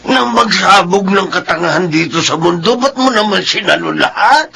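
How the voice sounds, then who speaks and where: Casting: sarcastic old Filipino dad for radio drama, gravelly, raised pitch, faint noise behind. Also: male voice, animated, moderate pace, bright, forceful tone, full character voice. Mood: exasperated, indignant. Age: older. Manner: mocking, blustering. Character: gruff, defiant elderly father, sarcastic.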